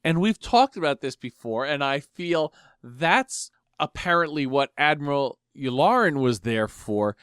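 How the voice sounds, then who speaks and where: A man's voice: a little high, animated, a little slow, few pauses, a bit bright, clear, resonant tone, no room sound, studio quality. A man co-hosting a show, chatting in an informal, jokey way as a fan. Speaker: podcast co-host. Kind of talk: casual fan banter.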